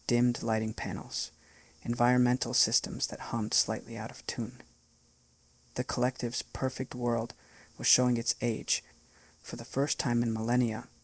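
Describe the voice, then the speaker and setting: Male narrator for a sci-fi story, husky, flat delivery, dry close mic. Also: medium pitch, dry recording, low-quality recording. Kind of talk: scripted narration.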